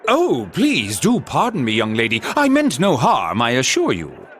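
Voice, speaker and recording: Flamboyant, sing-song gentleman. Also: mid-range voice, huge pitch swings, moderate pace, slight background noise. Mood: grandly courteous. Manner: pompous, theatrical, florid. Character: flamboyant, affected gentleman posing as a poetic noble. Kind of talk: English-language game voice acting.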